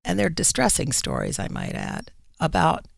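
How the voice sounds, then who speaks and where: A woman speaking as an editor and radio guest, explaining things conversationally in deliberate, measured phrases. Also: sits low, wildly swooping pitch, creaky, no echo, studio quality.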